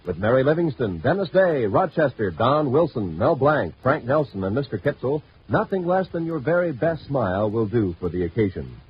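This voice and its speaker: An American man works as a 1950s network radio announcer. His delivery is polished for promos and very animated in pitch. The vintage recording is muffled, with faint noise. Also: moderate pace, few pauses.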